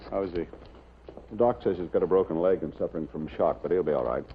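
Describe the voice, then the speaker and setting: Gruff low-voiced American police captain actor. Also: male voice, fast talker, slight background noise, close to an everyday voice. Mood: matter-of-fact. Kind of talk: 1950s television drama acting. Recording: dull, muffled 1950s television soundtrack.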